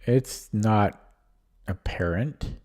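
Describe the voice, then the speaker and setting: A man's voice: fairly low pitch, drawn-out words, a little mellow, a little hiss or hum. A man streaming chess who thinks aloud in a casual, hesitant way, his voice a little strained from talking nonstop. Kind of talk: casual live-stream commentary.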